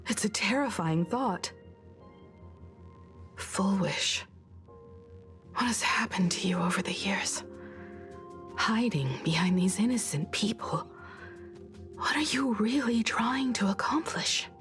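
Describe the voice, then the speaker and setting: An actress voicing a grave, brooding senior investigator in a video game, speaking in a measured, solemn way. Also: female voice, mid-range voice, lively intonation, a bit bright, faint music behind. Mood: hushed, troubled. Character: grave, brooding senior investigator woman, troubled by past tragedy. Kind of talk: dramatic video game voice acting.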